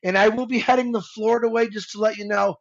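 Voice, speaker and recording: Fifties guy rambling on a live stream, high gravelly voice, kinda lo-fi. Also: steady tone, fast. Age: 50s. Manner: heartfelt, rambling, with many restarts and fillers. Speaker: co-host of a live show. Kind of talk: casual live-stream talk.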